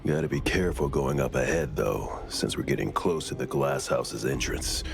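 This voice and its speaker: An actor playing a calm, gruff, cautious investigator in a video game, laid-back and measured. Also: male voice, rumbling low, music in the background. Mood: calm, wary.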